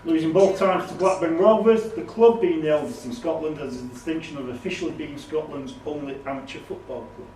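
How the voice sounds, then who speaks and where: A man's voice: fairly high pitch, a little fast, hardly any pauses, darkish tone. A man acting as quizmaster, reading questions aloud and ruling on the answers. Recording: roomy, distant, noisy recording.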